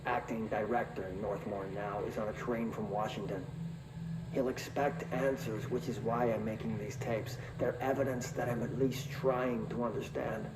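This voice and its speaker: A man's voice: lowish voice, fairly even intonation, normal speed. A man voicing an anxious, bitter, bookish researcher, brooding and self-deprecating on a taped log in a video game. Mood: tense, weary. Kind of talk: dramatic audio-log monologue in a video game. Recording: echoey, distant, noisy tape recording.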